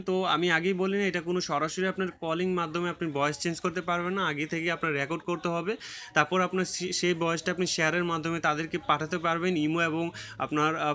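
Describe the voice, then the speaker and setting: A man's voice: fairly high pitch, sharp tone. A man with a Bangladeshi Bengali accent hosting a casual screen-recorded app tutorial, filling his talk with many "uh"s and restarts. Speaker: tech tutorial host.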